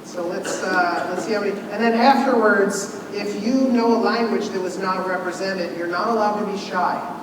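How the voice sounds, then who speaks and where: A man's voice: high voice, normal speed. A man leading a community ritual meal, giving instructions and inviting people forward, often restarting his sentences. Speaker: seder leader. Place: large hall. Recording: echoey hall from far off, background noise.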